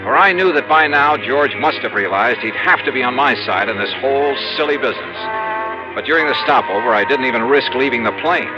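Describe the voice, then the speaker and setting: A man's voice: medium pitch, animated, natural voice. An American man playing a harried, wisecracking insurance investigator in a 1950s radio detective drama. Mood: wry, harried. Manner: brisk, wry first-person storytelling. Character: wisecracking, harried male insurance investigator narrating his case. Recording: dull vintage radio recording, music.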